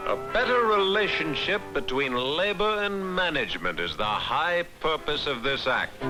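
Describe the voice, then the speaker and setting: An actor playing a stately, self-assured American president in an educational film, speaking in formal oratorical phrases. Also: male voice, on the high side, rough recording. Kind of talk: formal oratorical quote reading. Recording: old film soundtrack with loud music.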